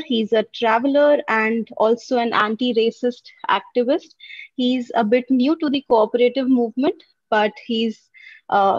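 A woman in her thirties with a fairly high voice moderates an online summit, making polite, formal requests. The video call audio is dry and dull. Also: dry recording. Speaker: session moderator. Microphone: video call, laptop camera.

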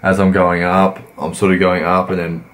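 A man, croaky from a cold, giving laid-back, rambling voice-over commentary on his workout. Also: low-pitched, completely flat delivery, moderate pace, a bit bright, a little hiss or hum. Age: 20s. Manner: laid-back, rambling, many fillers and restarts. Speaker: fitness vlogger.

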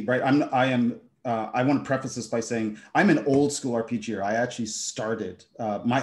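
A middle-aged man with German as his first language talks through a video call from his room at home, pausing often, starting sentences over and filling gaps with "uh" and "um". His voice sits at an ordinary, middle pitch for a man. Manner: hesitant, many fillers and restarts. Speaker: game designer, keynote speaker. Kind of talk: conversational panel talk.

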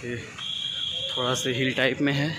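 A man's voice: mid-range voice, flat delivery, moderate pace. Young man giving a stadium tour. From India. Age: young adult. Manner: plain, descriptive, addresses viewers directly. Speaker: vlogger, athlete. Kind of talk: walking tour narration. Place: outdoor athletics stadium. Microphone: phone camera, handheld. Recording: outdoor phone audio with background noise.

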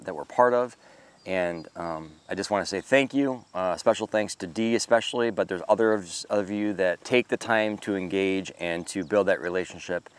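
Earnest, smooth-voiced man talking outdoors. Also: low-pitched. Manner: earnest, grateful, thoughtful with frequent fillers. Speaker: homesteading channel host. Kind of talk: casual devotional talk to camera. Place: outdoors in a park-like yard with trees. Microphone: camera close up at arm's length.

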